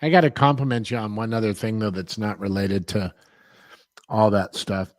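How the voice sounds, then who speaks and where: A man with a lowish voice speaks earnestly, padding his sentences with lots of fillers. The sound is close and dry. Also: fairly even intonation, moderate pace, no room sound. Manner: earnest, filler-heavy, complimentary. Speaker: co-host. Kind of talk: casual podcast chat.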